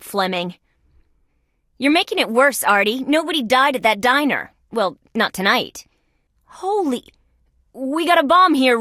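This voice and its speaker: A woman's voice: medium pitch, sharp tone, no echo, studio quality. An actress playing a tough, sardonic young female police detective in a video game, with a wisecracking delivery. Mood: urgent, tense. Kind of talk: video game character lines.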